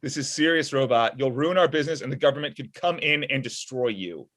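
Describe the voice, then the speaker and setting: A man's voice: medium pitch, fairly quick, fairly bright tone, natural voice. A man in his thirties playing an exasperated thirty-five-year-old inventor in a comedy script read over a video call. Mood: stern, alarmed. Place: indoor room at home, video call.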